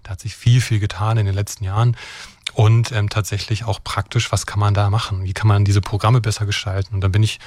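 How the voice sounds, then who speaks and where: A middle-aged man from eastern Germany answers questions at a quick clip, sounding earnest and fluent. His voice sits fairly low and comes across dry and close to the mic. He keeps tagging his points with little checks on the listener. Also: little pitch movement, darkish tone, no echo. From Brandenburg, Germany. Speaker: guest expert on extremism and deradicalization. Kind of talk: explanatory interview answers.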